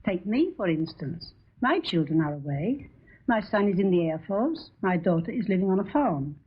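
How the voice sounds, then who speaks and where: A middle-aged woman with a British accent and a husky voice giving a scripted personal talk in 1940s radio style. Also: fairly low pitch, big pitch swings, moderate pace. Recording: dull lo-fi 1940 radio recording.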